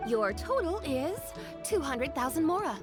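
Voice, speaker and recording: An actress playing a grudging, businesslike shopkeeper in a video game, wry and matter-of-fact. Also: female voice, high voice, very animated, a little slow, over loud music. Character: grudging, businesslike female shopkeeper selling camping gear.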